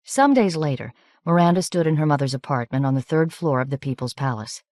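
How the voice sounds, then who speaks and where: A woman's voice: sits low, no room sound. Woman reading an audiobook, dry close sound. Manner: measured, even reading with light character shading. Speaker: audiobook narrator. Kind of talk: scripted narration.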